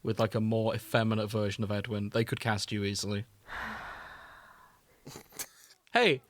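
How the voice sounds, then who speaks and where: A man's voice: fairly low pitch, fairly even intonation, measured pace. A man co-hosting a casual chat show, talking in a teasing, conversational way. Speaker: podcast co-host.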